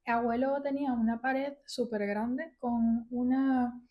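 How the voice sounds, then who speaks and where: A woman's voice: fairly high pitch, fairly even intonation, measured pace, highs cut off. A woman in her thirties from Venezuela with a Venezuelan accent, telling stories casually as a podcast guest. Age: 30s. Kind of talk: casual conversational storytelling.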